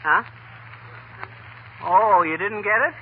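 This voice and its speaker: An actor playing a boastful, mischievous, stubbornly frank husband with a folksy, cocky manner in 1940s radio comedy. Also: male voice, high voice, takes it slowly. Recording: tinny, hissy 1940 radio broadcast.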